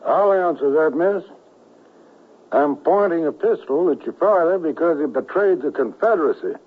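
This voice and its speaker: A vengeful western villain with a gun, full of mock courtesy. His pitch swings widely and the voice is rough-edged. It comes over a dull vintage radio broadcast. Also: expressive, moderate pace. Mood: vengeful, gloating. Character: menacing vengeful Confederate gunman, mockingly polite villain. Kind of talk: 1970s western radio drama acting.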